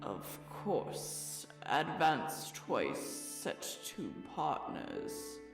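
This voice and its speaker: An actor playing a mournful, solemn turtle creature in an audio drama, speaking in a slow, drawn-out way. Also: mid-range voice, sing-song, full character voice. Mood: instructive, wistful. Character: sorrowful old Mock Turtle, slow, sighing and solemn. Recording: roomy, distant mic, music underneath.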